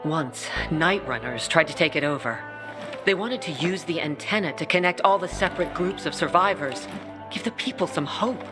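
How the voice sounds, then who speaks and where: An actor playing a tough peacekeeper commander who speaks in a gruff, curt way. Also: medium pitch, moderate pace, over music, natural voice.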